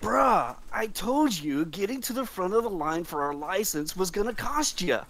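A man acts a young mad scientist as a chilled surfer bro in a table read. The voice is high and bounces around in pitch, full of easygoing slang. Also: animated, moderate pace, fairly bright tone, slight background noise. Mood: casual. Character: Doc, laid-back surfer-dude young male scientist, modest. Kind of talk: scripted table read, acting.

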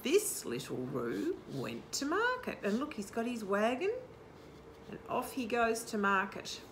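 A woman's voice: on the high side, a little slow, background noise. A woman in her sixties reading a picture book to young children in a sing-song, questioning way. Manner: animated, sing-song, asking the listener questions. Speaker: children's storytime host. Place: outdoor garden patio. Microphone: camera a short distance away.

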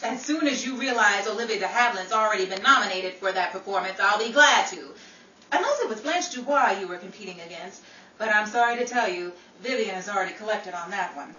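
A woman's voice: medium pitch, animated, fairly quick, pushed and bright, dull audio. A young woman playing a vain, wisecracking 1950s Hollywood star in theatrical, arch radio comedy style. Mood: sarcastic. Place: small room staged as radio studio. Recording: roomy, distant mic, noisy.

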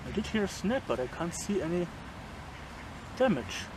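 A man's voice: high-pitched, big pitch swings, normal speed. A man in his thirties demonstrating a knife outdoors in a forest, chatting casually and chuckling between remarks, recorded on a camera mic. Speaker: bushcraft vlogger. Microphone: handheld camera mic. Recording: outdoor camera audio with background noise. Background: forest ambience.

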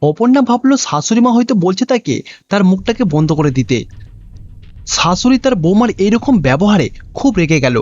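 Casting: male cartoon story narrator, high pitch, lively melodic read, lo-fi track. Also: fairly quick, no echo, faint music behind.

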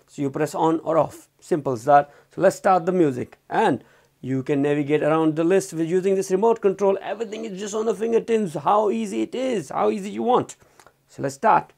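A man's voice: high-pitched, fairly quick, vocal fry, a little mellow. A man presenting a casual product review, talking informally in loose phrases and often repeating himself. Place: indoors, small room at a desk.

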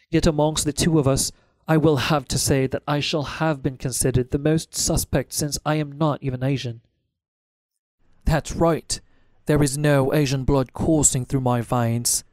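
Male audiobook narrator, mid-pitched, close dry sound, scripted first-person fiction. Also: a little mellow, no echo.

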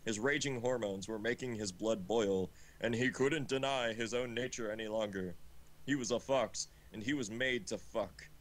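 A man's voice: lowish voice, moderate pace. A man reading a story aloud in an amateur, informal way on a group livestream. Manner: breaks into laughter and asides. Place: voice chat on a game livestream.

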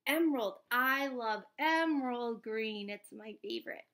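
A woman in her thirties or forties reading a picture book aloud to young children, sing-song and expressive, often asking questions. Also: a little high, measured pace. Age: 30s or 40s. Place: small indoor room at home.

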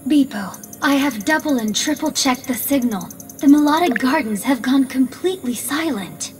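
A woman's voice: high voice, animated, moderate pace. A woman voicing a holographic ship computer in a video game, speaking in a precise, formal, composed and literal way. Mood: matter-of-fact. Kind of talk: video game cutscene acting. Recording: faint game music behind.

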